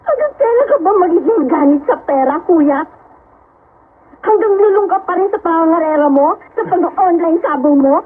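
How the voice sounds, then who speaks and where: A principled young sister in a radio drama, with a very high voice, pleading and close to tears. The sound is thin like a phone line, with a faint hiss. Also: female voice, lively intonation, normal speed. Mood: scolding. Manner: pleading, tearful. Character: young woman, principled, scolding her corrupt brother.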